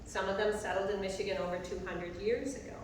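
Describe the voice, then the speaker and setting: A woman giving a measured, prepared public talk as a co-author at a library event. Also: mid-range voice, fairly even intonation, slight background noise. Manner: measured, reads figures clearly, addresses audience. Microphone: distant room mic. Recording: echoey hall recorded from far off.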